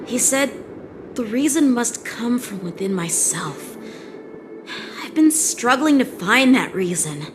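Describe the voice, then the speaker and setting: A woman's voice: on the high side, expressive, takes it slowly, music in the background. A woman voicing an earnest, proud teenage boy swordsman in a video game cutscene. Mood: determined.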